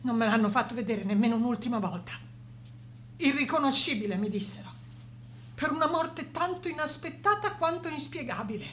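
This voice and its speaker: Grieving older mother, unbelieving, pained dramatic radio play delivery, fairly high voice, faint noise. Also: animated, a little fast, fairly bright tone. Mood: pained, indignant.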